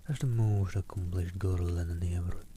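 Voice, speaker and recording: A man from the Netherlands reading a novel aloud in a soft, hushed ASMR style on a close microphone. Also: deep voice, steady tone, normal speed, a little hiss or hum. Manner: soft, hushed, often whispered.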